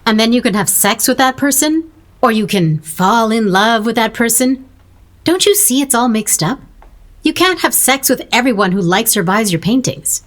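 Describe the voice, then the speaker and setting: An actress playing a disapproving, sarcastic roommate in a naturalistic audio drama, with a dry, needling, challenging way of talking. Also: female voice, on the high side, animated, normal speed, a bit bright. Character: blunt, sarcastic, disapproving female roommate of a painter.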